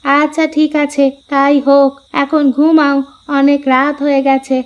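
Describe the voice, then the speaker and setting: A woman voicing an elderly village wife in a cartoon, fond and coaxing, with some gentle nagging. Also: high-pitched, flat delivery, measured pace, lo-fi sound. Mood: wistful, agreeable. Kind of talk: Bengali cartoon voice acting.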